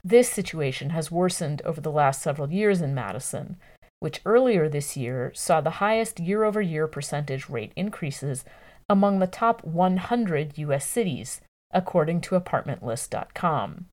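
A woman reading a scripted news article aloud in a measured, journalistic way with clear enunciation. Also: low-pitched, lively intonation, a little mellow.

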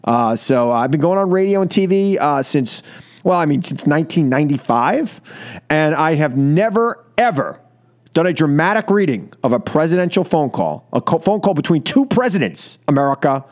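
A man hosting a live political call-in radio show, animated and joking, repeating phrases for emphasis. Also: a little high, moderate pace. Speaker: radio talk show host. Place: radio studio.

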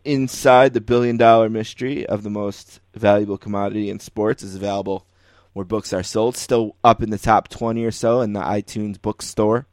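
Low-voiced American man hosting, dry sound. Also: steady tone, normal speed, dry recording. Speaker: sports podcast host. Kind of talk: casual conversational interviewing.

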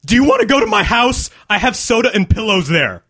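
A man's voice: high voice, big pitch swings, a little fast, a bit bright, breathy edge, pitched above the performer's own voice, exaggerated voice. A man voicing an awkward, intense young woman who is blunt, eager and earnest in a comic story reading. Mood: eager invitation.

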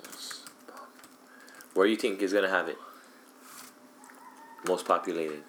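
A man's voice: sits low, a little slow, fairly bright tone, slight background noise. A young man in casual chat with a friend, swearing freely as he tells a story.

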